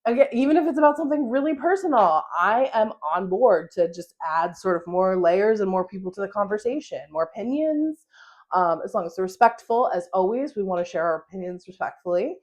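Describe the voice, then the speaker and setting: Young american woman, solo podcast host, casual chatty monologue, lively up-and-down delivery, laughs often. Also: medium pitch, fairly quick. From United States. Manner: chatty, animated, with frequent laughs and fillers.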